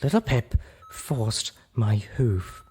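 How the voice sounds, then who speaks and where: A refined pony mare, played by a man, heartbroken but firm, chiding in a pained way. The delivery is highly varied in pitch, as in an audiobook fantasy tale. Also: lively intonation, slow, warm tone, breathy edge, heavily acted. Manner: pained, reproving. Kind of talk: audiobook character voice in storybook reading.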